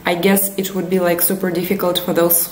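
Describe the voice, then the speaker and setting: A woman's voice: fairly low pitch, little pitch movement, fairly quick, darkish tone, a little hiss or hum. A young woman with a Russian accent, chatting casually as she explains internet memes in a lesson, with frequent fillers and laughs. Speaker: language teacher. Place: small room indoors. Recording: echoey room.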